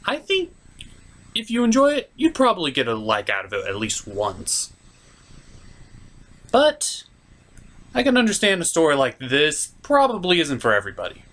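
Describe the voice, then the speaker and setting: A man's voice: medium pitch, fairly bright tone. A man hosting a comedic review, narrating a recap in a theatrical way with playful asides. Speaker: host of a puppet show review series.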